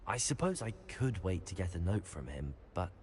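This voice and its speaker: Polite young student in a game, lowish voice, lively delivery, faint ambience. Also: male voice, moderate pace, a little hiss or hum. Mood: earnest, curious. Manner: polite, earnest. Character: polite, earnest young male wizard student. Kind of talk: video game character acting.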